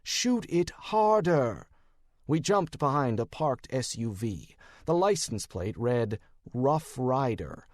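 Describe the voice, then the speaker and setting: An American man narrates a detective audiobook in the first person. His delivery is wry and highly animated, with wide swings of pitch, recorded dry and close in a booth. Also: slow pace, few pauses, dry recording.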